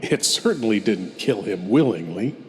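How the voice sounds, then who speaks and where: A man playing a sardonic, macabre horror host, speaking in a deliberate, ironic and theatrical way. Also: on the low side, expressive, close to an everyday voice. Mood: mock reassuring. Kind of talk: theatrical horror show hosting. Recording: long cavernous reverb.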